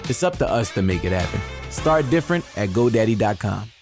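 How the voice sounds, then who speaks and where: A man's voice: lowish voice, animated, brisk, darkish tone. A man reading a scripted, inspirational commercial voice-over. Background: music bed.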